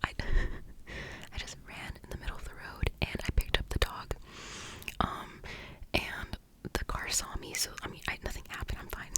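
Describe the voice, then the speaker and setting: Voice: moderate pace, low-quality recording. A person telling a story in a fully unvoiced ASMR whisper, rambling with many "like"s and tripping over words. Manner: rambling, heavy use of "like"; gets tongue-tied, restarts often. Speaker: ASMR creator.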